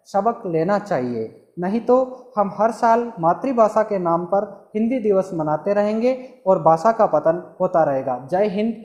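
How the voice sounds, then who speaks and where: A man's voice: high voice, darkish tone, dull audio. A man reciting lyrical verse and giving a short formal speech at a college function. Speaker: speaker at a college Hindi Day function. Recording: roomy echo.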